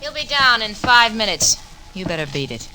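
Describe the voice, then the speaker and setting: Cool, bright-voiced femme fatale. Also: female voice, on the high side, sing-song, normal speed, faint music behind, heavily acted. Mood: scheming, seductive. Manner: clipped, commanding, seductive. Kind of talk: 1940s radio crime drama acting. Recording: noisy vintage 1940s radio recording.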